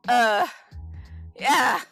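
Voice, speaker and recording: A woman chatting casually as she plays a game with friends, with an excitable, giggly manner. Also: sits high. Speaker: game player, streamer. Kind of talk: casual playthrough chat with friends, some dialogue read aloud.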